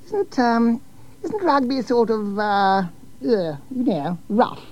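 A man's voice: very high-pitched, sing-song, takes it slowly, pitched above the performer's own voice, exaggerated voice. A man voicing a prim, easily embarrassed suburban woman in a storybook reading, posh and hesitant. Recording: dull lo-fi recording.

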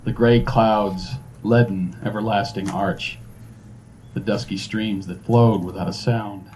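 A man reciting a poem aloud in a slow, measured reading outdoors on a porch. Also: fairly low pitch, fairly even intonation, a little mellow, slight background noise, poor audio. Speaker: poetry reader.

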